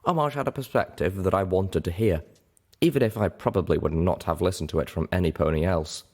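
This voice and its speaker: A man's voice: deep voice, a little fast, a little mellow. A man giving a dramatic scripted narration of a story as an audiobook reader.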